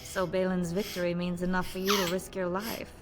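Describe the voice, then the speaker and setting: A woman's voice: fairly low pitch, level tone, brisk, music in the background, natural voice. An actress playing a composed dwarf noblewoman in a fantasy game, speaking formally and with measure. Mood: guarded, measured.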